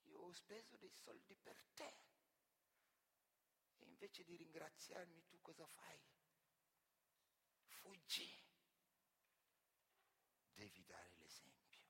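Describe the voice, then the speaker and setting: A man's voice: big pitch swings, creaky, slightly rough, full character voice. Man playing a menacing, volatile slave master. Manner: accusing, deliberate, swinging from low to shouted. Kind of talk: theatrical stage monologue. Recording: echoey hall with long reverb.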